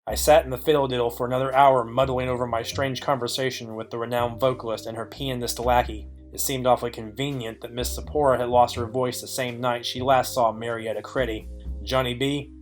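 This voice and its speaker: A man's voice: mid-range voice, a little fast, soft background music, lightly acted. A man playing a dry, wry, hardboiled private detective, narrating and questioning in film noir style. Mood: musing. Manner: deadpan, wry.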